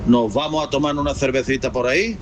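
A man from Málaga, a bus driver stopped for a street interview, chatting in a relaxed and folksy way. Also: mid-range voice, fast talker, crowd noise. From Málaga, Spain. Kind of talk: vox pop. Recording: street noise and traffic.

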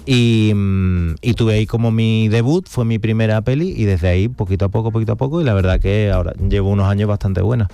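A man's voice: fairly low pitch, fairly quick, few pauses, warm tone, clean tone, no echo. A man in his forties with an Andalusian accent from Seville, an actor chatting casually as an interview guest. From Seville, Spain.

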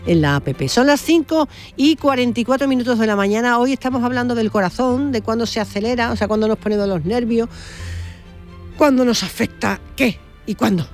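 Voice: medium pitch, a little fast, soft background music. A man hosting a live radio talk show, chatting casually and reacting briefly to others. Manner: chatty, interjects with short reactions. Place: radio studio.

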